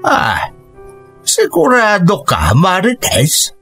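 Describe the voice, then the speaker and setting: A man playing an elderly, gentle rural father who speaks slowly and reassuringly in a radio drama. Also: high-pitched, huge pitch swings, gravelly, slightly rough, faint music behind, full character voice. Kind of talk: Ilocano radio drama acting.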